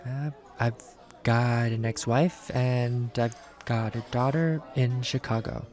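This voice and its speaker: A man's voice: mid-range voice, fairly even intonation, slow, darkish tone, lightly acted. A man playing a short-tempered, sarcastic bounty hunter and ex-cop, blunt and dismissive, in an acted film script read. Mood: guarded, weary.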